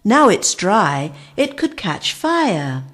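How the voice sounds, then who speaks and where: A woman's voice: mid-range voice, big pitch swings, slow pace, a bit bright, exaggerated voice. A woman voicing a weary, overworked cave woman who moans with tiredness. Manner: tired, moaning.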